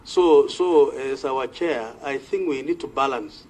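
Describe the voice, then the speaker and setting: A man with a Kenyan accent speaking formally as a committee senator, measured and hesitant, often repeating words as he goes. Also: mid-range voice, darkish tone, rough recording. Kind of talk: formal parliamentary debate.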